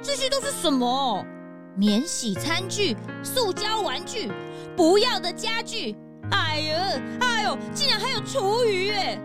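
A woman playing an excitable young detective's sidekick in a children's radio drama, exclamatory and quick to whine. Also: extremely high pitch, music in the background, exaggerated voice. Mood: disgusted.

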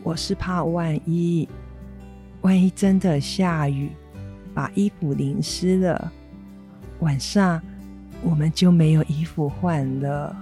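A woman's voice: sits low, slow, long pauses, warm tone, faint music behind. A woman with a Taiwanese Mandarin accent acting a mild, stubborn injured mother in a radio drama. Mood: mildly defensive. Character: injured mother, mild, stubborn, defensive toward her son.

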